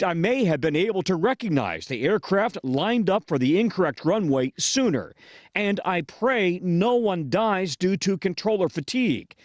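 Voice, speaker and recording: A man's voice: high voice, dry recording, clean studio sound. A man with an American accent delivering a scripted television news report as a correspondent.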